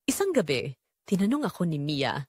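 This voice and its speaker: A woman plays a discontented Filipino wife and mother in an audio drama, confessing her betrayal. Her voice sits a little low and moves expressively up and down, measured and reflective. The sound is dry and close. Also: very animated, darkish tone, husky, no echo, full character voice. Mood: confessional, wistful. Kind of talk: first-person scripted audio drama narration.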